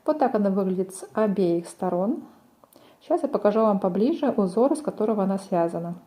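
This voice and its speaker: A woman's voice: medium pitch, expressive, a little fast, dark tone. A woman presenting a crochet tutorial, explaining each step in a methodical way. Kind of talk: step-by-step craft instruction.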